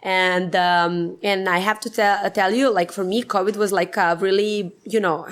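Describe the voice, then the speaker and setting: A woman's voice: mid-range voice, steady tone, unhurried. Rambling woman. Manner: rambling with many fillers and restarts. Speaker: film producer. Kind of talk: casual interview.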